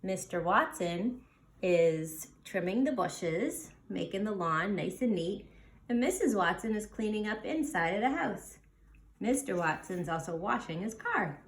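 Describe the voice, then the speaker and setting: Lady reading a kids picture book, slow and really lively, explains stuff simply, echoey room. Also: mid-range voice. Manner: explains words simply, addressing young listeners. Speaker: storybook reader. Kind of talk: animated children's read-aloud with explanatory asides. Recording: roomy, echoing sound.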